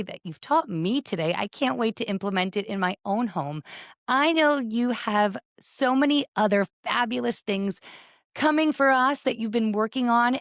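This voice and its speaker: A woman's voice: fairly high pitch, no room sound, clean studio sound. A woman hosting a conversational podcast interview, speaking in an affirming, effusive way. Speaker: podcast host.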